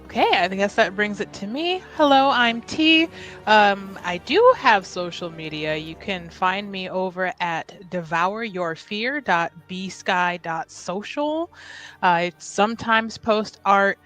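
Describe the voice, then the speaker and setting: Female tabletop player, unhurried casual delivery, light music underneath. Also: medium pitch, expressive, fairly bright tone. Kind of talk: casual self-introduction.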